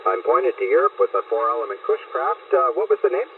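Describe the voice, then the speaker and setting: A man's voice: on the high side, sing-song, fast talker, gravelly, over a noisy crowd. A man working as a radio operator, chatting in a folksy, rambling way over a shortwave link. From Canada, Prince Edward Island. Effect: heard over shortwave radio. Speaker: amateur radio operator running a special event station. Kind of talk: chatty on-air radio exchanges. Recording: narrow tinny radio signal, static noise, distant.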